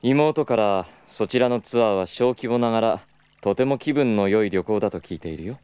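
An actor plays a suave young gentleman of means. His voice is deep and moves widely in pitch, with a teasing, knowing manner. It comes from an audio drama with clear background noise. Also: lively intonation, moderate pace, natural voice. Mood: composed, courtly. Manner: smooth, patronizing, knowing.

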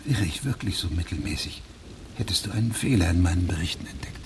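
Male, middle-aged stiff, self-righteous judge for radio drama. Lowish pitch, precise formal delivery, clear rain and thunder noise. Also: lively intonation, quick pace. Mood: self-satisfied, exacting.

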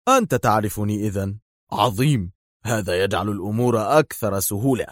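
Guy as a super cool spy hero in an audiobook, mocking, relaxed and sure of himself. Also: mid-range voice, no room sound, clean studio sound, close to an everyday voice. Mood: calm amused. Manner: sardonic, unhurried, simple. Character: cool, mocking, self-assured male secret agent.

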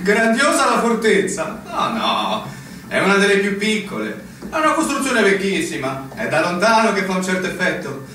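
A laconic, resigned veteran officer in a stage drama. His wry voice sits fairly high and swings widely in pitch, trailing off in half-sentences. It rings heavily in an echoey space. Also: sing-song, normal speed, sharp tone, full character voice. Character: long-serving, resigned captain at a remote fortress, laconic. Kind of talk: theatrical stage acting. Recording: echoey hall, distant mic, noisy.

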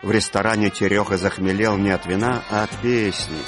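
A man narrating a literary audio play with expressive storytelling. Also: fairly low pitch, fairly quick, music in the background.

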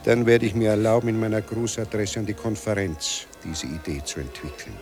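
Actor as a deep, gravelly, proud drinking writer. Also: male voice, fairly even intonation, a little fast, darkish tone, natural voice. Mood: formal, insistent. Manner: grandly formal, dramatic, brooding. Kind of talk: German radio play acting.

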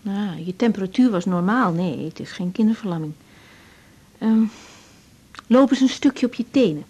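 An actress playing a caring, brisk young woman doctor in a naturalistic 1980s radio drama. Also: medium pitch, animated, dark tone, slightly rough. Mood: calm, reassuring. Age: young adult.

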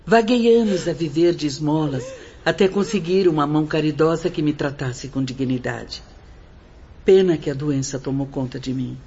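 An actress playing a sympathetic, sickly older woman in a radio drama, with a low, husky voice. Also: normal speed, dark tone. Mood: regretful. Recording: dull lo-fi radio drama recording.

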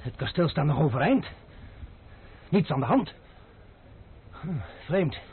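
An actor playing a bold, impatient cavalier master in an old radio play, brusque and commanding, dismissive of fear. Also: male voice, on the high side, very animated, a little slow, vocal fry, breathy edge, full character voice. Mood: puzzled, watchful. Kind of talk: old radio drama acting. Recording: noisy narrow-band old radio play recording.

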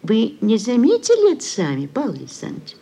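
A woman's voice: fairly high pitch, wildly swooping pitch, a little fast, gravelly, dark tone, husky, full character voice. An actress playing a scheming, sugary middle-aged society mother in a classic radio play, cajoling and declaiming theatrically. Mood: gushing, coaxing. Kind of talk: classic Russian literary radio play acting.

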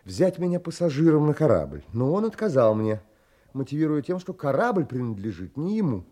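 An actor playing an earnest, determined young gentleman in a dramatised audiobook, with expressive, literary delivery. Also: a little high, moderate pace. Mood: earnest, urgent. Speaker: actor narrating and playing the lead.

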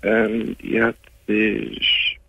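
A man in his twenties calling a radio phone-in, subdued and grieving, speaking haltingly with many restarts. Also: on the low side, completely flat delivery, slightly rough, no room sound. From Germany. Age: 20s. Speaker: caller. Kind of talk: call-in radio conversation. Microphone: telephone. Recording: tinny bad phone line.

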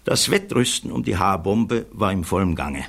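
An actor playing a thoughtful physicist giving measured, earnest testimony in a German radio play. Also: male voice, low-pitched, lively intonation, fairly bright tone, full character voice. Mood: grave, troubled. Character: thoughtful male physicist witness, earnest, troubled by conscience.

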